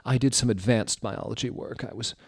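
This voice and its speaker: A man's voice: mid-range voice, steady tone, a little fast, creaky, a little mellow, slightly rough, no echo, studio quality. A man giving a dramatic, comedic reading of fan fiction as its narrator. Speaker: reader.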